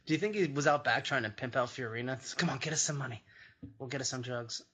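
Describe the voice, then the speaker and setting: A man's voice: medium pitch, fast talker, bright, forceful tone, slightly rough. Sardonic man mocking a debate. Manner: sardonic, weary. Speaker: podcast co-host. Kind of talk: crude live commentary on a debate.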